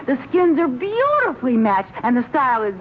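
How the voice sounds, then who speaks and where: An actress playing an excitable, oblivious, gushing American wife in an old radio sitcom, with a theatrical, breezy manner. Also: female voice, high-pitched, fairly quick, narrow, tinny audio. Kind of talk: 1940s-50s radio comedy acting. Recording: thin, noisy old radio broadcast recording.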